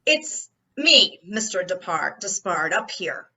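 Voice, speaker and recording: A woman playing a polite, unhurried, probing male police captain in old-time radio drama, with a casual, mild manner. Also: fairly low pitch, very animated, sharp tone, heavily acted. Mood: cool, polite. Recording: dull band, faint noise.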